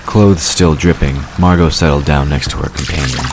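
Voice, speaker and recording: Very deep-voiced male storyteller. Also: fairly even intonation, moderate pace, background noise. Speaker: narrator. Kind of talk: scripted narration of a fantasy audio drama.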